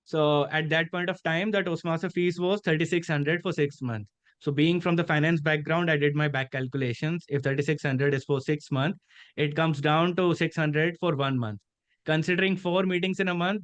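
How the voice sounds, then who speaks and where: A man in his thirties with an Indian English accent, first language Haryanvi, tells his story as an interview guest in an earnest, step-by-step way. His voice sits fairly high for a man and sounds smooth and resonant. The recording is dry and close. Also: dry recording. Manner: earnest, methodical, steady storytelling.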